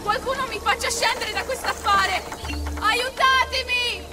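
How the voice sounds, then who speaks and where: A woman's voice: extremely high pitch, steady tone, normal speed, sharp tone, faint music behind, some chatter behind, exaggerated voice. An actress with an Italian accent playing a stranded, imperious lady calling for rescue in a video game. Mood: urgent, indignant. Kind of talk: video game cutscene acting.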